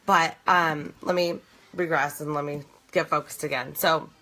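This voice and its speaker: Slightly hoarse woman chatting from bed. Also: low-pitched, fairly even intonation, measured pace, bright, forceful tone, faint music behind, rough recording. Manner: earnest, rambling, many fillers and restarts. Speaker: host of a live video challenge. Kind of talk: casual chat on a live stream. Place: in bed at home at night.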